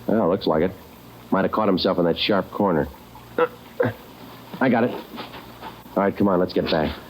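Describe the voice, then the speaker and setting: An actor playing a stoic police detective in a 1940s radio crime drama, speaking in terse, clipped, deadpan lines. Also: male voice, low voice, normal speed, slight background noise, lightly acted, rough recording. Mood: businesslike. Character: stoic male police detective narrating a manhunt. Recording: old radio recording with loud music behind.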